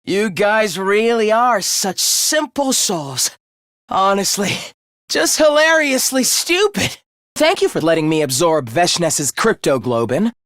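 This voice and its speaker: A man's voice: high voice, big pitch swings, takes it slowly, a bit bright, dry recording, clean studio sound, full character voice. A man voicing a young male student in an anime game, sweetly idealistic yet secretly sinister, with a light, polite, theatrical delivery. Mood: smug, mocking.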